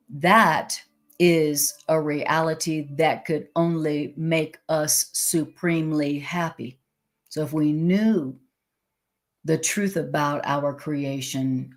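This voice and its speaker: A woman leading a live-streamed spiritual class in a chatty way, laughing easily, with a loud voice, into a microphone. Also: low voice. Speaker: spiritual teacher. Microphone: desk microphone.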